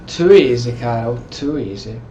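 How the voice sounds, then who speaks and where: A man with an Italian accent giving casual, halting game commentary, often repeating words as he goes. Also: mid-range voice, lively intonation, dark tone, muffled recording. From Italy. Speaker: gameplay streamer. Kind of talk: casual role-play game commentary in Italian with stray English. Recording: echoey room, faint background noise.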